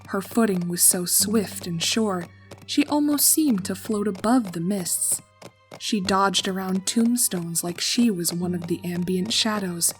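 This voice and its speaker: A woman reading a horror story aloud in a calm, steady narrating voice. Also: medium pitch.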